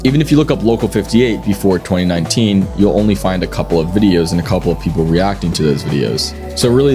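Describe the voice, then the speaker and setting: Male narrator, low register, scripted video-essay delivery, light music bed behind the voice. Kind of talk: scripted documentary-style narration. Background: background music.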